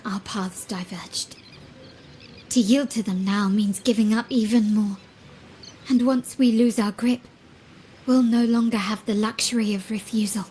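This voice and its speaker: A woman playing a composed, calculating young crime-family heiress in a video game, speaking deliberately and eloquently. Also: a little high, warm tone, slight background noise, lightly acted.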